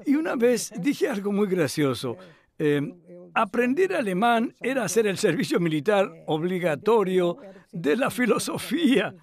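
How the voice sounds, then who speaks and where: A man's voice: sits high, sing-song, a little slow, full character voice. A male actor dubbing a cultured older scholar who speaks reflectively and hesitantly in a conversational interview. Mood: reminiscing. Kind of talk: voice-over dub of a conversational interview.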